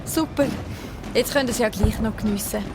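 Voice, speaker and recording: A woman's voice: fairly high pitch, brisk, background noise, natural voice. A woman with a Swiss German accent playing a kind, romantic young woman in a children's radio play, friendly and soothing. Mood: pleased, surprised.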